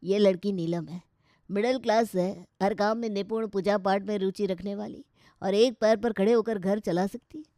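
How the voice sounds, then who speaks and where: A woman voices an Indian pandit matchmaker from a folk-style story. The delivery is measured and approving, like a polite sales pitch, in a mellow, dry sound. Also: fairly even intonation, dry recording, natural voice. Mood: persuasive.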